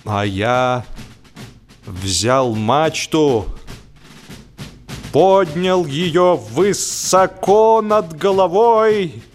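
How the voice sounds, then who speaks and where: A man narrating a humorous literary story in a theatrical, expressive manner, with emphatic swells and deliberate pauses. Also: fairly high pitch. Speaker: audiobook narrator. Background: music.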